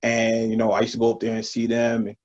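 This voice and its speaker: Husky man on muffled speakerphone. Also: mid-range voice, completely flat delivery, fast, darkish tone. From Albany, New York. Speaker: interview guest, veteran. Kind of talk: casual storytelling. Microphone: phone on speaker.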